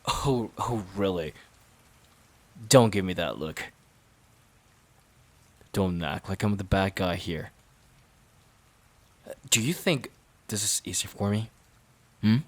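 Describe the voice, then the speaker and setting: Man acting a low, husky fed-up boyfriend. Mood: exasperated, accusing. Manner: halting, sighing, repeats himself. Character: fed-up, resentful boyfriend worn out from overwork. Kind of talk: emotional audio roleplay, intimate dramatic monologue.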